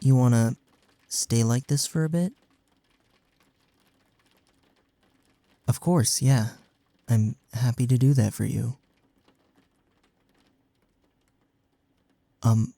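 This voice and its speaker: Man acting as mellow, gravelly young best friend. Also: mid-range voice, no room sound. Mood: tender, sad. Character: imagined young male best friend, gentle, caring, frank. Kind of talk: intimate audio roleplay acting, comforting.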